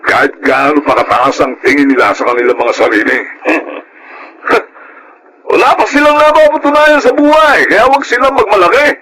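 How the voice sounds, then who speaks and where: A man's voice: sits high, big pitch swings, moderate pace, sharp tone, slight background noise, full character voice, low-quality recording. An actor playing a callous, hot-tempered middle-aged Filipino father in a radio drama, scornful and boastful. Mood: scornful, proud.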